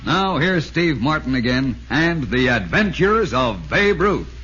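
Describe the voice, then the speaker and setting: A man's voice: on the high side, big pitch swings, measured pace, loud music behind the voice. A man reading scripted announcements in 1940s radio style. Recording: dull, lo-fi old radio, faint noise.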